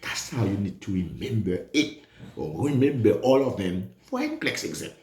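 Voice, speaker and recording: A man's voice: on the low side, normal speed, roomy sound. A man, a Creole speaker, giving an emphatic nursing exam review lesson. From Haiti. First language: Haitian Creole. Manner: animated, emphatic, repeats key points.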